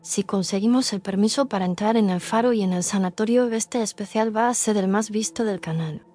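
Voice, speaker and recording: A woman's voice: mid-range voice, fairly quick, mellow, soft background music, natural voice. A woman playing a confident, ambitious young ghost-hunting streamer in a scripted horror audio drama, assured and persuasive. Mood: confident, eager.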